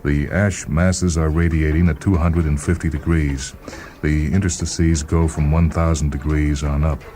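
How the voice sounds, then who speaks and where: A man voicing a stocky, genetically adapted woman scientist in a radio story, brisk, curt and scornful. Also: extremely low pitch, level tone, mellow.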